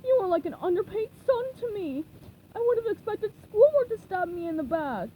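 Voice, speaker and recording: A woman voicing a gruff, dramatic middle-aged male crab boss, loud and theatrical with old sea-dog talk. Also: extremely high pitch, very animated, brisk, phone-quality sound, a little hiss or hum, voice pushed up.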